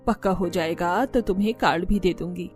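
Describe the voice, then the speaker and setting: Lady doing a bossy saas in a cartoon, warm mid voice, faint music. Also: lowish voice, a little fast, natural voice. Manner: commanding, matter-of-fact. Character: stern middle-aged mother-in-law. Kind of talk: cartoon voice acting.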